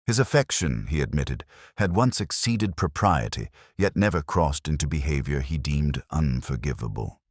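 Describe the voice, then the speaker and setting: Very deep-voiced man narrating a detective story. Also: animated, no room sound, clean studio sound. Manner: measured, literary, solemn delivery. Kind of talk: scripted first-person detective story narration.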